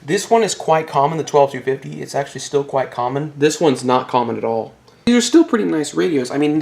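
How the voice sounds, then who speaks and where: Casual man with a creaky voice. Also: mid-range voice, darkish tone, a little hiss or hum. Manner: casual, explanatory. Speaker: YouTube collector and host. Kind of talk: informal product showcase with buying tips.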